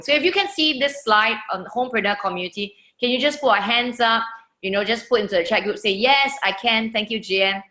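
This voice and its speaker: A woman's voice: medium pitch, pushed and bright, reverberant. A woman from Singapore, hosting an online webinar in an encouraging manner with frequent "you know" fillers, speaking over a video call. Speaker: webinar host. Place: holiday lodging, echoey room.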